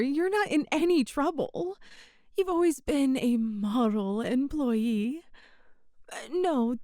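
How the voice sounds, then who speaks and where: A woman's voice: high-pitched, dry recording, clean studio sound. A woman playing a possessive, sweet-talking female boss in an intimate ASMR roleplay, with a coaxing, hesitant manner. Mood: feigned calm concern. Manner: coaxing, hesitant, with soft chuckles. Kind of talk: ASMR roleplay, intimate one-sided dialogue.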